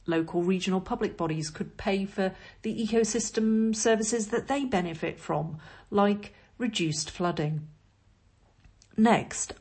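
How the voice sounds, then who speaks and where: Female podcast host, husky lower voice, steady scripted read. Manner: measured, informative. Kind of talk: scripted narration.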